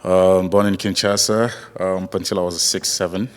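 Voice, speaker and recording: Male interview guest, very low pitch, casual, halting delivery with repeated phrases, hall reverb. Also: level tone. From born in DR Congo, raised in South Africa. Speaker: interview guest, MMA fighter. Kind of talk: casual chat.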